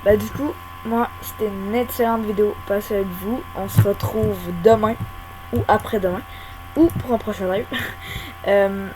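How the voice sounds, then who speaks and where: A young woman giving casual, hesitant gameplay commentary at her home computer, full of pauses and filler sounds. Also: mid-range voice, fairly even intonation. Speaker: gaming YouTuber. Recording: hum and whirring computer fan noise.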